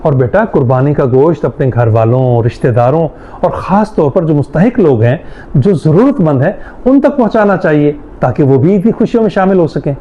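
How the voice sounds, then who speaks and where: A man's voice: a little high, expressive, highs cut off, close to an everyday voice. A man playing a strict, principled middle-aged father in a moral-story drama, speaking in an emphatic, lecturing way. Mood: earnest. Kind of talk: Urdu moral-story audio drama acting.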